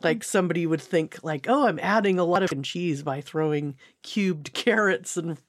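A woman's voice: fairly low pitch, normal speed, no echo. Female podcast panelist, casual storyteller, dry studio-close sound.

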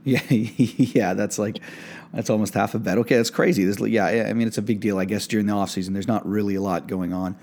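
A man's voice: on the low side, fairly even intonation, fairly quick, a little mellow. A man hosting a casual sports betting podcast, loose and upbeat, with lots of fillers and restarts. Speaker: podcast host.

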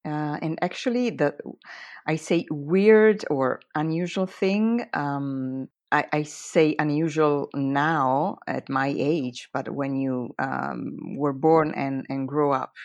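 A woman with an Italian accent speaks English as a podcast guest, and Italian is her first language. Her voice sits deep for a woman and is recorded dry and close. She pauses often and reaches for words. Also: fairly even intonation, darkish tone, no room sound. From Italian-speaking Switzerland. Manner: hesitant, searching for words, frequent fillers and laughs. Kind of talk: casual conversation.

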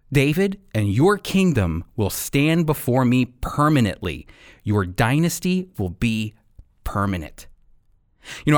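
A man hosting a radio program and teaching from the Bible in an earnest, deliberate, emphatic way. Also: mid-range voice, no echo, clean studio sound.

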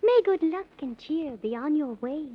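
A woman playing a gentle, solemn enchanted rose, reciting rhymes in a 1930s children's radio serial. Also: high-pitched, lively intonation, normal speed, phone-quality sound. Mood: kindly. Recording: band-limited vintage 1930s radio recording.

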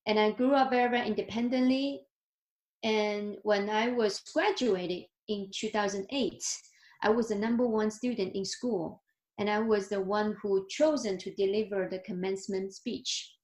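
A woman in her thirties with a Chinese accent tells her story as a guest on a video call. She sounds earnest and grateful, speaking gently with small slips in her English. The sound is echoey, as if she is in a bare room. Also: medium pitch, fairly even intonation, moderate pace. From China. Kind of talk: interview, telling her life story.

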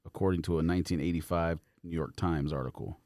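A man's voice: low voice, fairly even intonation, quick pace, darkish tone, no echo, clean studio sound. A man co-hosting a podcast, chatting informally with slang and reading bits of articles aloud. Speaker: podcast co-host. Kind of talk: casual chat with bits read aloud from articles.

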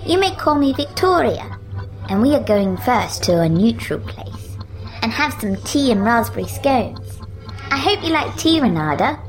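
A woman's voice: on the high side, sing-song, a little slow, voice pushed up, low-quality recording. An eerie posh English little girl. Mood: sweetly eerie. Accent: upper-class English. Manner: pompous, teasing, sing-song. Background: music.

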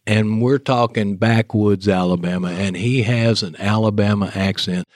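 A man's voice: fairly low pitch, steady tone, normal speed, no room sound, clean studio sound. A man with a Texas accent hosting a podcast interview, chatting in a friendly, storytelling way. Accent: Texan. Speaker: podcast host. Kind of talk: casual interview chat.

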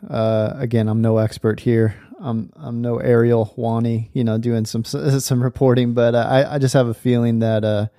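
A man's voice: medium pitch, level tone, normal speed, hardly any pauses, warm tone, dry recording, clean studio sound. A man hosting a podcast, friendly and affirming, chatting casually with a guest. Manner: friendly, affirming, laughs often. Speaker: podcast host. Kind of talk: casual interview chat.

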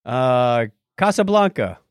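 A man's voice: mid-range voice, sing-song, takes it slowly, clean tone, dry recording, studio quality. A man hosting a podcast in a casual, playful and enthusiastic chatting style. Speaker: podcast host.

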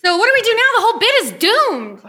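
A woman's voice: very high voice, huge pitch swings, fast, bright, forceful tone, reverberant. A woman performing live comedy banter with an incredulous, theatrical manner. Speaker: sketch show performer. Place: live show in a comic book shop.

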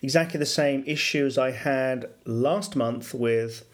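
A middle-aged man hosting a solo business podcast, explaining at a measured pace with fillers and self-corrections. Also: mid-range voice. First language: English. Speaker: podcast host and business English coach.

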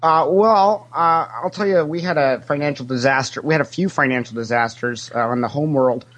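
A man's voice: on the high side, a little fast, a bit bright, low-quality recording. A man playing a cheerfully shameless, greedy Ferengi alien money investigator, talking in a hesitant, rambling, matter-of-fact way in an improvised interview. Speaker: interview guest. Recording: internet call connection.

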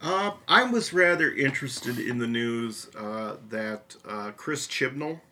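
A middle-aged man hosting a casual podcast, talking in halting, hesitant phrases. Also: medium pitch, animated, sharp tone, a little hiss or hum. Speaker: podcast host. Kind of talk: casual chat.

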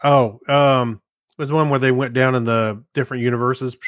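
A man's voice: mid-range voice, steady tone, moderate pace, fairly bright tone, no room sound, clean studio sound. A man co-hosting a podcast, chatting in an easygoing, informal way. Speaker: podcast co-host. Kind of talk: casual chat.

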